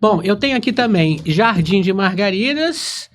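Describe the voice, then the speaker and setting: A man's voice: high-pitched, lively intonation, moderate pace. A man with a Brazilian accent hosting a casual interview, playfully echoing back what is said. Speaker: podcast host.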